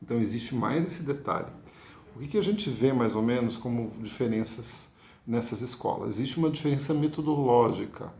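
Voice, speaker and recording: A Brazilian-accented man gives a card-reading lesson with a gravelly edge to his mid-pitched voice. He explains patiently and keeps checking in with the listener. The recording sounds echoey and roomy. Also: expressive, normal speed, a little mellow. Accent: Brazilian Portuguese. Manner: patient, ends points with tag questions. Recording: echoey room.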